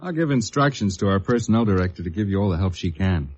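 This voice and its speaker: Energetic American executive, low husky voice. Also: male voice, fast talker. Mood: cordial, cooperative. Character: energetic, cooperative young American company president. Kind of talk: 1950s radio drama acting. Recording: muffled old radio recording.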